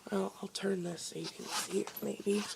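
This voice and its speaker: Hoarse girl vlogging from her bed in the basement, super gravelly fry, rambly with tons of ums. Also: mid-range voice, fairly even intonation, moderate pace, heavy background noise. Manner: rambling, lots of ums and likes. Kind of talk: casual chat to camera.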